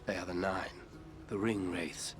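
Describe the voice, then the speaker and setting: A commanding ranger chieftain from a fantasy game. His low voice is solemn and measured, with guarded gravity, over clearly audible dramatic music. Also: fairly even intonation. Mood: low, grave. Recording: orchestral game music behind.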